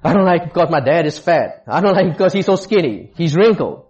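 A preacher mimicking a whiny fussy complainer. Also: male voice, fairly high pitch, expressive, fast, a little mellow, highs cut off, pitched above the performer's own voice, natural voice. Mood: whining. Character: fussy grumbling complainer, never satisfied.

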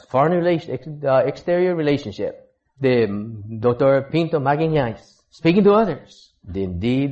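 A missionary preacher from Texas delivers a sermon in a church at an ordinary, middling pitch. He stops, restarts and hunts for words as he goes. The recording is dull and lo-fi. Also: darkish tone. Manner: halting, with frequent restarts and searching for words.

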